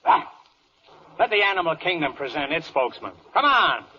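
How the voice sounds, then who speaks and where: Pompous, husky old-radio judge. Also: male voice, on the high side, big pitch swings, moderate pace. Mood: indignant, blustering. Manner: pompous, blustering, theatrical. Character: pompous, excitable, flirtatious male judge in a whimsical court. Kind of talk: 1940s radio acting in rhymed verse. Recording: muffled vintage 1940s radio recording.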